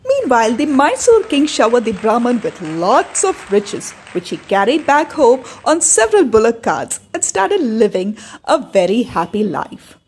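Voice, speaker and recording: A woman with an Indian accent telling a folk tale in expressive scripted narration. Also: on the high side, slight background noise. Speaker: storyteller.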